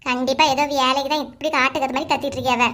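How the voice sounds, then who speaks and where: A woman's voice: high voice, fairly even intonation, very fast, a bit bright. A woman voicing a whiny, grumbling teenage daughter in a cartoon comedy, with a Kanyakumari Tamil accent. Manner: whiny, complaining. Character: sulky teenage daughter who grumbles and talks back.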